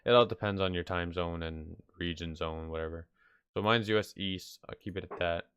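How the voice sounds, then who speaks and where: A man hosts a casual how-to video in a deep, clean voice, slightly nasal with a head cold. He rambles and hesitates in an offhand way. The sound is dry and close on the microphone. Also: fairly even intonation, normal speed, a bit bright, smooth, dry recording, muffled recording. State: sick with stuffy nose. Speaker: video tutorial host. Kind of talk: casual unscripted software tutorial. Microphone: close mic, screen-recording voice-over.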